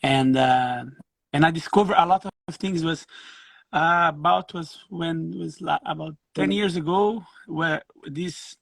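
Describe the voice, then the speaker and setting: Gravelly-voiced Brazilian man, halting. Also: a little high, no room sound. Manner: halting, restarts phrases, laughs easily. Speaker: guest musician. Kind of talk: casual interview chat.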